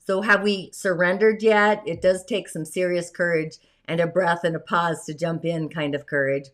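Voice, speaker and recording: Female host, hoarse today, low-ish voice, meandering and soft-trailing spiritual talk. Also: fairly even intonation, moderate pace.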